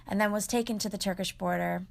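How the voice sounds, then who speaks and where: A woman hosting, who reads her narration clearly and asks short, encouraging questions. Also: medium pitch, fairly even intonation, fast. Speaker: host and interviewer. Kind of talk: scripted narration and casual interviewing.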